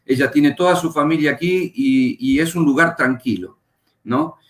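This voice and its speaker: A middle-aged Argentine man, a pastor and Bible teacher, calmly telling his life story as a guest in an interview. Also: fairly high pitch. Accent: Argentine Spanish. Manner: unhurried, reflective, pauses with fillers. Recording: echoey room.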